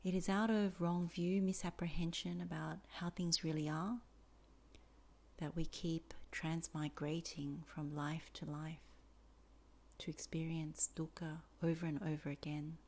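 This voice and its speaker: Female meditation teacher, slightly low register, soft and breathy at phrase ends, measured and deliberate delivery. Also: flat delivery. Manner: slow, deliberate phrasing with many pauses. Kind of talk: guided meditation instruction.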